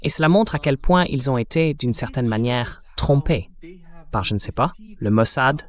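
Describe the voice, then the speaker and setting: Male AI dub voice for an interview host: medium pitch, conversational delivery on political affairs. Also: expressive, normal speed. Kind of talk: conversational political discussion, dubbed translation read by AI voice.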